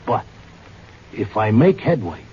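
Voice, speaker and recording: An actor playing a wisecracking, stubborn American racing tipster in a radio drama, talking and narrating in plain slang. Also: male voice, lowish voice, big pitch swings, slow, husky, exaggerated voice. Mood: bargaining. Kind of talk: mid-century radio drama acting and first-person narration. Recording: dull vintage radio recording with music.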